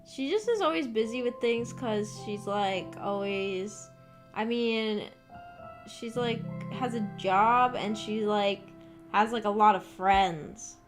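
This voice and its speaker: A young woman streaming, chatting casually and rambling with lots of fillers, her voice a bit rough from just waking up. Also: mid-range voice, slow pace, music in the background.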